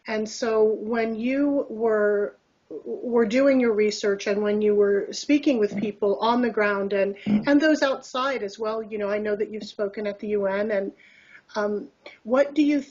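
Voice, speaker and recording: A woman's voice: a little high, dull audio. A woman hosting a conversational interview, phrasing her questions carefully and reflectively. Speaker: interview host.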